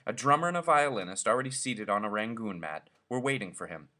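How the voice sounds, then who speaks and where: A man's voice: fairly low pitch, expressive, fast, pushed and bright. A man narrating a short story expressively, as a reader telling it aloud.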